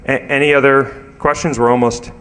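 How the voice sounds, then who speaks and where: A man's voice: mid-range voice, little pitch movement, fairly bright tone. Hesitant man giving an informal tech talk. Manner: hesitant, halting delivery with many filler phrases. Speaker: conference speaker. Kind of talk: informal technical conference talk with audience questions. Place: conference room, speaking to an audience.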